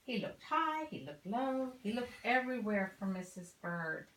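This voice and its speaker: An older woman reading a children's story expressively, as a grandmother would, deliberately and with drawn-out emphasis on key words. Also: mid-range voice, very animated. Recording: roomy home recording, faint noise.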